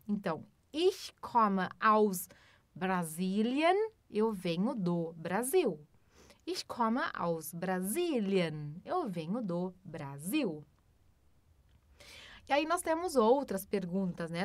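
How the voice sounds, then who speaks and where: Lively Brazilian woman teaching German. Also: mid-range voice, no echo. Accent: Brazilian Portuguese. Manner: explains patiently, frequent tag questions, slow careful German examples. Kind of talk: language lesson.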